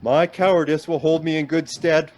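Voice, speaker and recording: A man's voice: fairly high pitch, steady tone, normal speed, darkish tone, a little hiss or hum, natural voice. An actor in a radio play voicing an anxious, self-deprecating man stranded in a snowstorm. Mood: uneasy. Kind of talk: naturalistic radio play acting.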